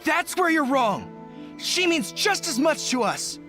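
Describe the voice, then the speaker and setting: A man's voice: very high voice, big pitch swings, unhurried, music in the background, heavily acted. A man playing an earnest, loyal young resistance general in a video game, speaking with resolute conviction. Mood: indignant, defiant. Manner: impassioned, resolute. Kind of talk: scripted video game dialogue.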